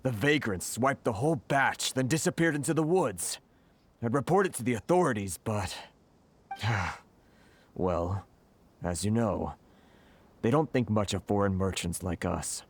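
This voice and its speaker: A man's voice: lowish voice, expressive, unhurried, pauses often, a little hiss or hum. A man playing a fretful, humble, exasperated merchant in a video game, often hesitant. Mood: aggrieved, dejected. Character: fretful, downtrodden foreign male merchant, humbly deferential. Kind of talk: video game dialogue acting.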